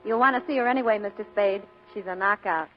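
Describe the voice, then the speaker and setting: An actress playing a chirpy, knowing office secretary in a 1940s radio drama style. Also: female voice, medium pitch, fast, natural voice. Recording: muffled old radio, music behind.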